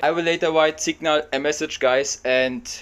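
A young man with a German accent speaks close to the camera in a small room. His voice sits high, and he talks in an excitable, chatty vlog style, dropping in Tagalog words. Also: normal speed, smooth. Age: young adult. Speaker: vlogger. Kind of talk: casual reaction vlog.

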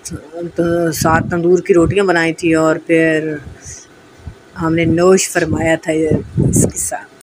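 A woman's voice: deep voice, level tone, slow, darkish tone, poor audio. A woman from Pakistan with a Pakistani accent narrates a casual vlog voice-over, chatting directly to her viewers. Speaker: vlogger. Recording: noticeable background noise.

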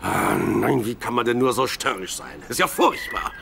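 An actor playing a plain-spoken, impatient young shepherd in a Bible audio drama. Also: male voice, medium pitch, lively intonation, moderate pace, gravelly, fairly bright tone, slight background noise. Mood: exasperated. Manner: questioning, exclamatory.

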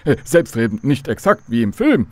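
An actor playing a courteous, old-fashioned, learned professor in a children's radio drama, formal and pedantic. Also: male voice, mid-range voice, sing-song, normal speed, a little mellow, dry recording. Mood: lecturing.

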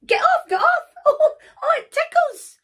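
Woman as a lively, blustering magician pony. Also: extremely high pitch, a little slow, voice pushed up, full character voice. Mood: frantic. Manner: blustering showman patter. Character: bumbling male magician pony, showy and flustered. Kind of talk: children's picture book read-aloud.

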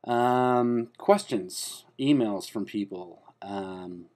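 A man's voice: fairly low pitch, extremely slow. A man playing a rambling, self-important man. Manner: hesitant and rambling, full of fillers and restarts; deadpan, earnest delivery of absurd claims. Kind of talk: comedic spoof monologue to camera.

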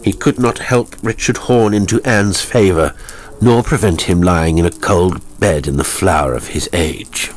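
A man's voice: sits low, a little slow, a little hiss or hum. A man voicing a sullen, drunken, debauched nobleman with a coarse, sneering manner in a horror story. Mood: bitter gloating.

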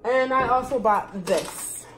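A woman's voice: fairly high pitch, slow, background noise. A woman in her twenties chatting casually to her phone camera in a home kitchen, rambling with lots of fillers. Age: 20s. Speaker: vlogger. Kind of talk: casual chat. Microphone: phone camera propped close on the counter.

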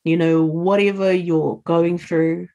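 A woman's voice: on the low side, flat delivery, slow pace, warm tone. A middle-aged woman speaking earnestly as a guest on a video call, urging listeners on. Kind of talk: earnest interview talk.

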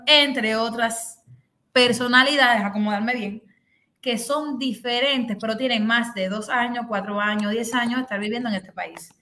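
Animated Cuban woman, high bright voice. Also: reverberant. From Cuba. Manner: animated storytelling, acting out the other people in the story. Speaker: host. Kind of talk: casual chat on a video call. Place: bedroom, indoors. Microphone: video call.

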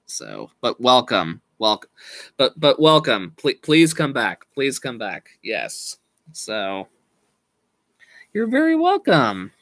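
A man in his thirties chatting casually with viewers on a live stream from a small room at home, slowly losing his voice and speaking in halting restarts. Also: mid-range voice, wildly swooping pitch, fairly bright tone, no echo, studio quality. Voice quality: slow, halting, with frequent restarts and laughs. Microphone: webcam at desk.

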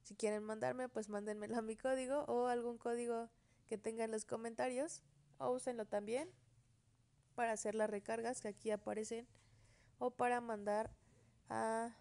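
Clear higher-pitched Mexican woman explaining. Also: steady tone, moderate pace, darkish tone. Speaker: app tutorial creator. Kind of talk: casual screen-recording tutorial narration.

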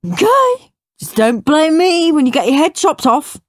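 A woman's voice: high-pitched, big pitch swings, measured pace, no echo, studio quality, voice pushed up. A woman voicing a bossy, scornful, mischievous young boy in an emphatic, taunting way for a children's storybook. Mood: smug warning.

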